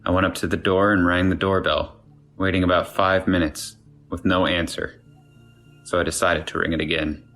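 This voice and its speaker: A man's voice: deep voice, level tone, normal speed, fairly bright tone. A man reading a creepy first-person story aloud as a scripted narration. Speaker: guest narrator reading a submitted scary story. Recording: music playing under the voice. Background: background music.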